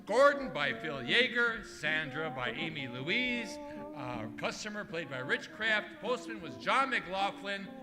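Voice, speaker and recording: A man's voice: high voice, sing-song, unhurried. A man working as announcer for an old-time radio show, reading sponsor tags and credits. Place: live stage in a museum hall. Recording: loud music, live stage recording. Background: loud music.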